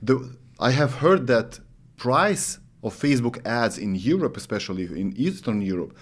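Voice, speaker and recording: A man with a Lithuanian accent hosts a podcast and asks short, curious questions. His phrasing is slightly non-native. His voice is pitched at an ordinary middle level for a man. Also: animated, moderate pace. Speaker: podcast host. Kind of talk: interviewing, asking questions.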